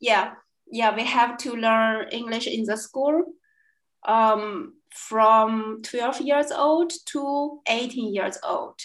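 A young woman from China with a Chinese accent, a guest in a casual interview, speaking haltingly with many fillers and restarts. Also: a little high, low-quality recording. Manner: hesitant, many fillers and restarts, laughs often. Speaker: guest, language student.

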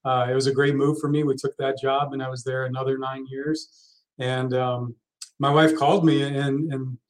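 A middle-aged man from Texas, a college soccer coach, talks through his career in a relaxed, storytelling way. His voice sits at an ordinary middle pitch and often drops quiet at the ends of his phrases. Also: moderate pace, poor audio. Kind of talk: interview guest.

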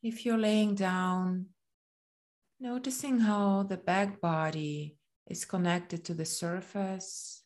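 A woman leading a guided body scan meditation in a soothing, gentle manner, leaving long pauses between phrases. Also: medium pitch, steady tone.

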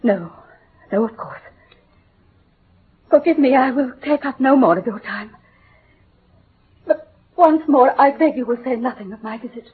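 Anxious high-voiced English lady. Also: animated, unhurried, long pauses, breathy edge, heavily acted. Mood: anxious, pleading. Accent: upper-class English. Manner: formal, imploring, restrained emotion. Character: anxious, proud upper-class English lady, pleading. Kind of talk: classic British radio drama acting. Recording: muffled old radio broadcast.

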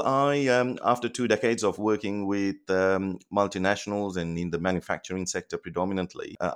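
A man from Sri Lanka in his forties, a guest chatting over the phone with many pauses and ums. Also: low-pitched. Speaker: guest, productivity consultant. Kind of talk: casual phone interview.

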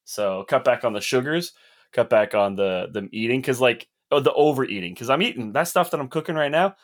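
A man's voice: medium pitch, lively intonation, a little fast. A man co-hosting a casual podcast, chatty and rambling, teasing his friends. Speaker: podcast co-host.

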